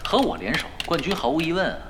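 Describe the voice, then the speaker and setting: A man's voice: on the high side, sing-song, quick pace, background noise. A man in an audio drama playing a confident veteran gamer, nonchalant and teasing. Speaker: voice actor in a radio drama. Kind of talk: naturalistic audio drama dialogue. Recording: music behind the voice.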